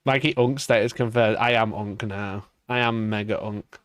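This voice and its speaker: A man's voice: fairly low pitch, steady tone, normal speed, fairly bright tone, clear, resonant tone, dry recording, clean studio sound. A man in his thirties chatting casually on a live stream, reacting to old clips, swearing and laughing at himself. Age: 30s. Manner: swearing, laughing, self-mocking.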